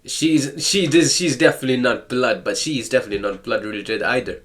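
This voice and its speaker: A man commenting casually on a game, joking and reacting with quick asides and stammers. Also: medium pitch, fairly bright tone. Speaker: let's play host.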